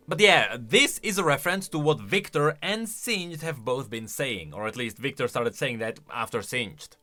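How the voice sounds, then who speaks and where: Male commentary host. Bright, forceful voice with lots of swing, conversational and emphatic. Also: fairly high pitch. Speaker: video host. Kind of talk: casual reaction and explanation commentary.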